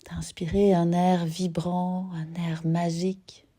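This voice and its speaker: Slow, mellow, low-voiced woman guiding relaxation. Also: level tone. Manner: gentle, unhurried, pausing between short phrases. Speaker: yoga nidra teacher. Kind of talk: guided relaxation meditation.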